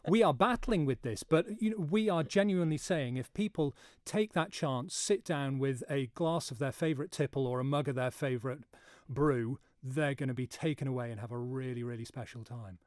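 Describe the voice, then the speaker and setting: A man's voice: mid-range voice, moderate pace, a little mellow, no room sound. A man in his thirties, earnest and enthusiastic, as a guest in a radio interview on a studio microphone. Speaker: guest, writer and actor.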